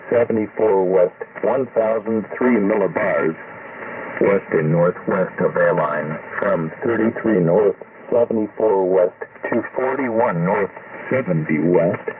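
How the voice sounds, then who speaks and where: A synthesized male voice reading a marine weather forecast in a measured, methodical way, received over shortwave radio. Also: lowish voice, far from the mic. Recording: noisy tinny shortwave radio reception.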